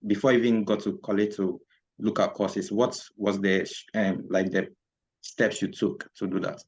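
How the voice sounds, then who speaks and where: A man's voice: lowish voice, flat delivery, normal speed, a little mellow, dull audio. A man hosting a podcast interview, speaking hesitantly with many fillers and murmured affirmations. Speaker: podcast host.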